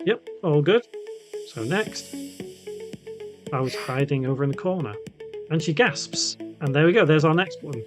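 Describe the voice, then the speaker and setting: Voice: medium pitch, lively intonation, normal speed, long pauses, music in the background. A tutorial host talking casually and conversationally, thinking aloud through an unscripted coding walkthrough.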